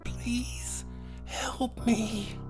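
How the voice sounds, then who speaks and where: A man voicing a small furry creature, pleading softly and sadly in a childlike adult voice for a horror story. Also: extremely high pitch, fairly even intonation, over music, voice pushed up, heavily acted. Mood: pitiful pleading. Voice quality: soft. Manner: drawn-out, sad, desperate pleading.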